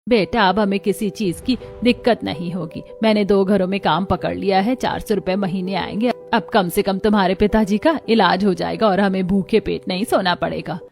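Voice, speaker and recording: A woman's voice: medium pitch, normal speed, creaky, few pauses, darkish tone, close to an everyday voice. A woman playing a poor, earnest mother who works as a housemaid, speaking respectfully and pleadingly in a moral story. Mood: relieved, hopeful. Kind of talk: dramatized Hindi moral story acting.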